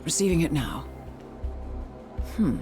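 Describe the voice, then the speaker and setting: An actress playing a poised, wry assassin's handler in a video game cutscene, with a measured, dry, businesslike manner. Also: female voice, low-pitched, lively intonation. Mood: focused, alert. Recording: dramatic music behind the voice.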